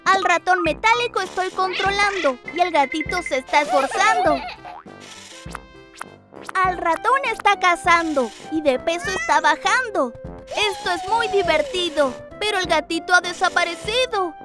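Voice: very high-pitched, animated, moderate pace. A performer voicing a playful, adventurous young lion cub in a children's cartoon, speaking in sing-song, exclamatory rhymes. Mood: determined. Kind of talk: rhyming children's cartoon narration. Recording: loud music under the voice.

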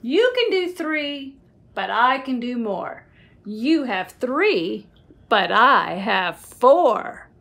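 A middle-aged woman reading a picture book aloud to young children in an expressive, sing-song way. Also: a little high, creaky. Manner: expressive, sing-song, slow, with whispered asides. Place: small room at home.

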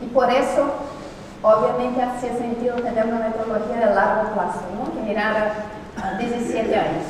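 A middle-aged woman with a Portuguese-tinged Spanish accent, whose first language is not Spanish, giving an academic conference talk in a lecture hall, explaining thoughtfully with frequent pauses and restarts. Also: fairly high pitch, fairly even intonation, a little hiss or hum. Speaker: conference speaker. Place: large lecture hall with audience. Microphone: far room recording of a hall talk. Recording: echoey hall recorded from far off.

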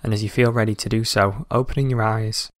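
A man's voice: fairly low pitch, no room sound. Man guiding meditation, very dry sound. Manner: calm, measured, instructional. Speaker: meditation guide. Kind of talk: scripted guided meditation.

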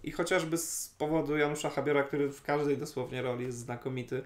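The voice is a man in his twenties with a slightly high pitch. He talks casually, like a vlog host discussing films with a friend. The small room adds a clear echo. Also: fairly even intonation, fast. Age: 20s. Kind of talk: casual film discussion chat. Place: small room indoors, on a sofa. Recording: echoey living room.

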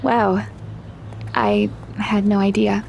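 A woman's voice: mid-range voice, steady tone, slow, gravelly, a little mellow, lo-fi sound, a little hiss or hum. A woman playing an anxious, curious teenage girl in an audio drama, conversational and questioning. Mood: relieved, teasing. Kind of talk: naturalistic audio drama acting.

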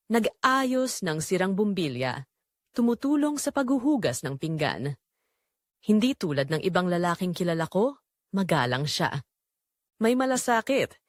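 Expressive middle-aged mother-in-law confessing , acted by a woman. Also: fairly low pitch, no room sound, studio quality. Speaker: narrator of an audio drama. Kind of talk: first-person dramatic storytelling.

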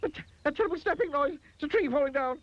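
A man voicing a timid, hysterical little storybook character in a shrill falsetto, stammering and repeating himself. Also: lively intonation, quick pace, no room sound, highs cut off. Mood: panicked.

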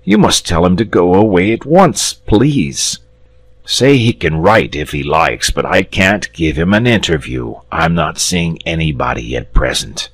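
A man reading a story, voicing a gentle, cowardly male dragon with a slow, polite drawl. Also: deep voice, lively intonation, dry recording, full character voice. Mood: moaning.

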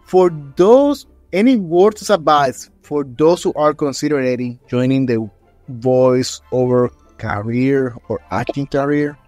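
A man's voice: medium pitch, unhurried, darkish tone, no room sound, faint music behind. A man in his thirties hosting a casual online interview, effusive, jokey and rambling, close on a desk microphone. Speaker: interview host. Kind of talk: casual hosting, channel plugs. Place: indoor room with streaming setup. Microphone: desk microphone, close, with headset.

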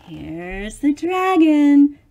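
A woman's voice: sits high, big pitch swings, drawn-out words, vocal fry, warm tone, highs cut off. A middle-aged woman reading a picture book aloud expressively, theatrically stretching words and adding chatty asides for young listeners. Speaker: children's storybook reader.

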